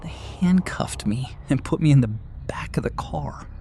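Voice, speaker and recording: A woman's voice: low-pitched, expressive, normal speed, warm tone. A woman narrating a horror story in a pleading, fearful, often whispered voice.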